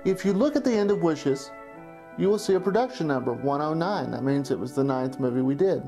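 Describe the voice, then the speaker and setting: A man's voice: on the high side, animated, fairly quick. A man narrating a reflective, anecdotal documentary retrospective as the filmmaker. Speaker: filmmaker looking back on studio's history. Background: music.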